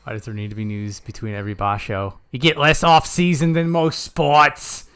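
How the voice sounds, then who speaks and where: A man's voice: medium pitch, little pitch movement, normal speed, a bit bright, smooth. Dry-joking man with a mild Australian accent. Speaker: podcast co-host. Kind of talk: casual chat.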